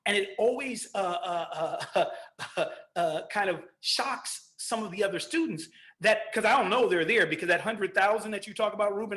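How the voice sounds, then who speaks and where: A middle-aged man from the United States moderating a panel discussion, a college history teacher who tells stories with lively restarts. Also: sits high.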